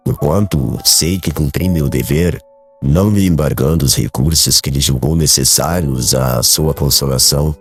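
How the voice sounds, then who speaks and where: A man playing a calm, kindly, grieving old country father in a dramatized audiobook, speaking in a measured, gentle and formal way. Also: extremely low pitch, slightly rough, faint music behind, exaggerated voice. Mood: sorrowful, earnest.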